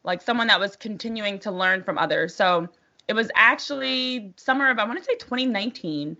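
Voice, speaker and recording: A woman's voice: mid-range voice, lively intonation, brisk, bright, forceful tone, dull audio. An American woman, a sports broadcaster being interviewed, telling her story in an articulate, positive, conversational way. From New Jersey, United States.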